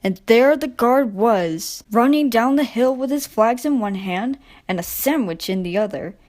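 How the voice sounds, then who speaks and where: A woman's voice: on the high side, expressive, a little slow, darkish tone. Woman narrating a children's storybook.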